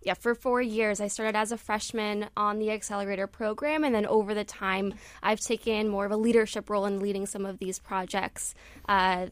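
A young adult woman, a student who leads projects, answering briskly in an interview. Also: medium pitch, steady tone, no echo. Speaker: student program leader.

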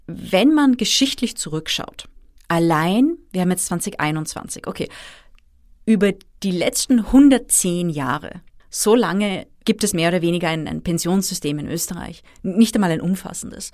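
A woman, calmly explaining retirement savings as an interview guest. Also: mid-range voice, no room sound, clean studio sound. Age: 30s. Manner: explanatory, methodical. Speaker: finance expert guest. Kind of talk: interview answers.

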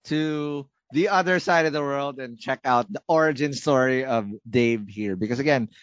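A man's voice: mid-range voice, normal speed, clear, resonant tone, dry recording. Chatty male host on a dry muffled mic. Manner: chatty, joking, lots of backchannel. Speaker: podcast host. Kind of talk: casual interview.

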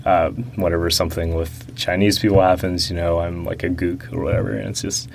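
A young man answering interview questions about growing up, talking slowly and reflectively with many fillers and restarts. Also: deep voice, little pitch movement, creaky, slight background noise.

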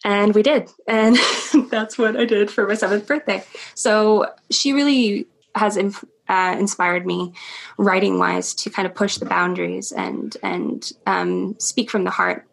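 Chatty young woman with vocal fry. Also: medium pitch, steady tone, unhurried. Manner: chatty, laughs often, many ums. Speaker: interview guest, stage performer. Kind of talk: casual interview chat.

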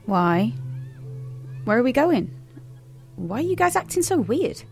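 High-voiced sarcastic teenage girl. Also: sing-song, a little slow, gravelly, a little mellow, faint music behind, full character voice. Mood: alarmed, suspicious. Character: Parker, guarded, sarcastic teenage girl in a dystopia. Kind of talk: naturalistic audio drama dialogue.

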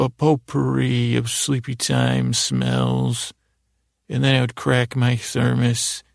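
A man improvising a rambling, whimsical bedtime monologue as a host, halting and stammering, often repeating his words. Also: medium pitch, gravelly, no echo, clean studio sound. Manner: whimsical, lightheartedly silly. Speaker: podcast host telling bedtime stories.

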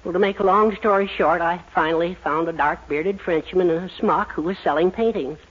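A man's voice: high-pitched, a little fast, breathy edge. An actor playing a henpecked, sheepish middle-aged American father with mournful, melodramatic phrasing in a 1940s radio sitcom. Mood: rueful storytelling. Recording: dull 1940s radio recording.

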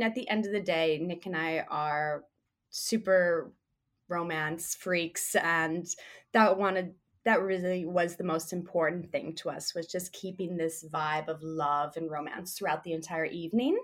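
A woman speaking as an interview guest, relaxed and chatty, with a hoarse voice. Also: lowish voice. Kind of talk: casual interview chat.

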